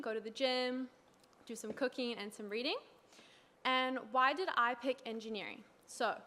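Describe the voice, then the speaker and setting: Young woman student presenter, a touch high, conversational. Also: a bit bright. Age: young adult. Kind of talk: presenting to an audience.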